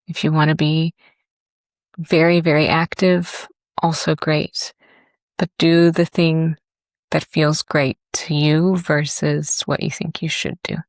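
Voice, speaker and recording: A woman leads a guided body scan with a low voice that has a creaky edge. She speaks gently and leaves long pauses between phrases. The sound is close and dry. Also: little pitch movement, no room sound. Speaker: yoga and meditation teacher.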